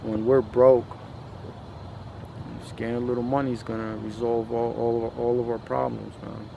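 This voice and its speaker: A man from a post-Soviet country speaking in a casual, reflective monologue, halting and repeating himself, with lots of slangy filler. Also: mid-range voice, little pitch movement, vocal fry, muffled recording, noisy background. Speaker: podcast host.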